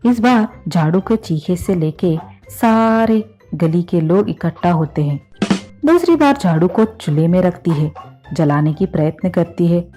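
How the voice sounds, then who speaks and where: Woman narrating a storybook tale, lowish mellow voice, music playing. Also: animated, measured pace.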